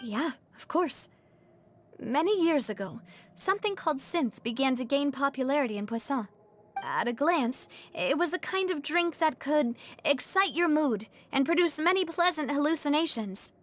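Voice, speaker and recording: High-voiced, earnest young leader. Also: female voice, lively intonation, normal speed, slight background noise. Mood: earnest, explaining. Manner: earnest, articulate. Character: confident young woman, earnest, determined leader of an organization. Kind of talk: video game character acting.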